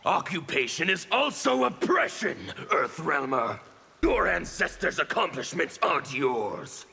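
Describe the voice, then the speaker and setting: Casting: a scarred revolutionary zealot for a fighting game, forceful gravelly bite, highish pitch, declamatory and threatening, long reverb. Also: male voice, sing-song, measured pace, heavily acted. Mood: defiant. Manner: menacing, theatrical, declamatory. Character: fanatical burned male anarchist revolutionary villain. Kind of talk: theatrical video game character acting. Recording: long reverb, faint background noise.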